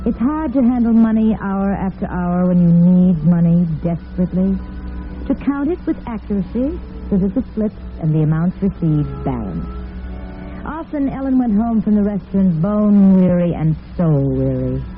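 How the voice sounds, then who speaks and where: A woman playing a sympathetic storyteller, narrating a melodrama in measured, dramatic old-time radio style. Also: mid-range voice, lively intonation, soft background music, natural voice. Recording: narrow-band vintage radio recording.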